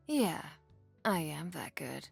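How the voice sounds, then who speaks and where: An actress playing a cocky, hard-bitten, gold-hungry mercenary warrior in a video game, with a husky, gravelly voice and terse, swaggering delivery. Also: female voice, deep voice, very animated, slow pace, no room sound, faint music behind, exaggerated voice. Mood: smug, commanding. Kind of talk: video game character voice lines.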